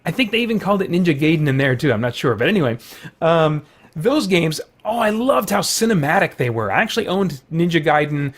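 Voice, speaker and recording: A man's voice: fairly high pitch, lively intonation, brisk. A middle-aged man hosting a video with casual, rambling, enthusiastic chat full of loose asides. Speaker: video host.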